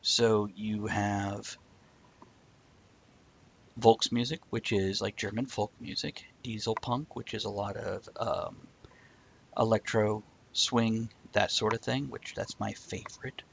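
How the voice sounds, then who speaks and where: A man's voice: lowish voice, fairly even intonation, takes it slowly, creaky, fairly bright tone, husky, highs cut off. A man hosting a live-stream talk show, chatting casually and stumbling over words now and then. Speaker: show host.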